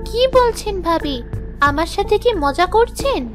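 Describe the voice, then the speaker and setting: Woman doing sensitive downtrodden young wife, high plaintive voice, cartoon, music bed. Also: lively intonation, slow pace, heavily acted. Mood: wary pleading. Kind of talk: children's fairy-tale cartoon. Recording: music behind the voice.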